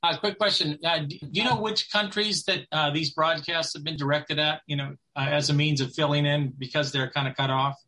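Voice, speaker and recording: A man's voice: fairly high pitch, fairly even intonation, brisk, hardly any pauses, pushed and bright, rough recording. A man casually asking questions and commenting as an audience member on a video call.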